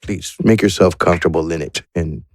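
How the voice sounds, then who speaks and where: A man voicing an eccentric, unassuming potion maker with a halting, modest manner in a tabletop roleplay. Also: low-pitched, steady tone, darkish tone, no echo, studio quality, pitched below the performer's own voice. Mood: mild, modest.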